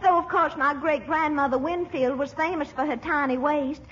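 A woman's voice: high-pitched, moderate pace, a bit bright. A woman acting as a coy, flirtatious Southern belle with a Southern US accent, gushing and genteel in a 1940s radio comedy. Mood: playful, coaxing. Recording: dull, hissy old radio recording.

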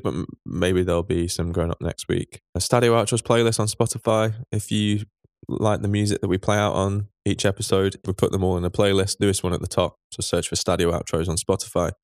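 A man, co-hosting a podcast, a bit croaky and teasing in casual chat. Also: lowish voice, dry recording, studio quality. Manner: teasing, banter-heavy. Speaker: podcast co-host.

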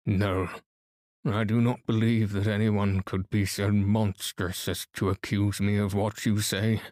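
A man's voice: fairly low pitch, level tone, measured pace, a little mellow, no echo, studio quality, lightly acted. A man voicing an aggrieved widower under suspicion in an audiobook, mournful and affronted. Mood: defiant, agitated. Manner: murmuring grief, affronted outbursts. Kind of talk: audiobook character voice.

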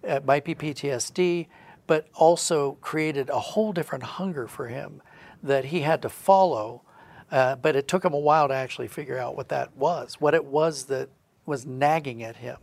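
Higher-voiced man with a gravelly creak. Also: normal speed, a little mellow. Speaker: guest, audio drama writer. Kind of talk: reflective conversational interview.

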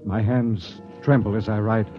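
An American man playing a weary, resigned middle-aged expedition captain in a 1950s radio drama, measured and solemn. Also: lowish voice, fairly even intonation, close to an everyday voice. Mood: solemn, doomed. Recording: dull vintage radio broadcast recording, music.

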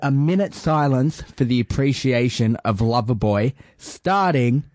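A man's voice: a little slow, no room sound, studio quality. A man talking as a boastful wrestler persona, brash and self-promoting, calling people son. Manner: brash, boastful heel persona, lively pitch. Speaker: wrestler guest in character.

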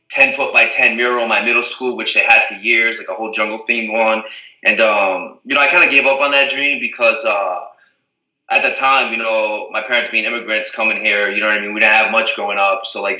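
A man's voice: fairly low pitch, pushed and bright. Rambling young man, echoey and distant. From Portugal, the Azores. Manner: rambling, heavy use of "like" and "you know what I mean". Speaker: guest, reality TV contestant. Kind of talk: casual interview chat.

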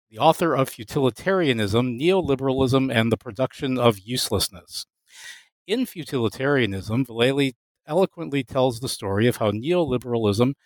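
Measured male podcast host, dry sound. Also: mid-range voice, dry recording, poor audio. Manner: measured, formal reading. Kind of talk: scripted introduction and interview questions.

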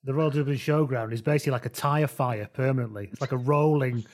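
A man's voice: mid-range voice, fast talker. A man co-hosting a sports podcast, talking in casual, informal chat. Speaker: podcast co-host.

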